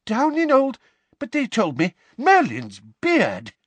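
A harried middle-aged wizard official, urgent and out of breath, his voice pushed up high and energetic, as a male narrator plays him. His pitch leaps around, and the audio is dry and close. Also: unhurried, no room sound, full character voice. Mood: urgent, breathless.

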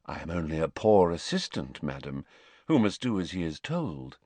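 A man voicing a smooth, quietly scheming young man who speaks low and controlled. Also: sing-song, moderate pace, dry recording, full character voice.

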